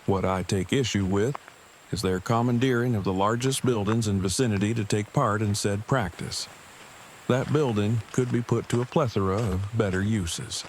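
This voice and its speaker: A man's voice: fairly low pitch, moderate pace, a little hiss or hum, natural voice. An actor playing a nerdy, opinionated man in an audio drama, speaking in wordy, stiffly formal sentences. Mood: earnest, pedantic.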